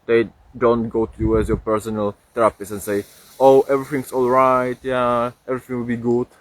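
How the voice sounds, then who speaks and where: A young man speaking English as a second language with a Czech accent, talking casually on a livestream, halting with many fillers and restarts. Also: mid-range voice, little pitch movement, no room sound, low-quality recording. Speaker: livestream host.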